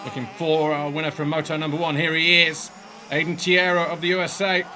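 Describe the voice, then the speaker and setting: A man giving brisk, excited play-by-play commentary on a motocross race as a studio voice-over. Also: a little high. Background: music bed.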